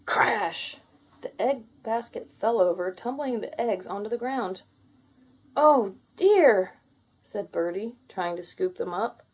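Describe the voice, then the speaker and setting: Woman narrator, children's storybook, scripted read-aloud, expressive, deliberate, medium pitch. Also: husky.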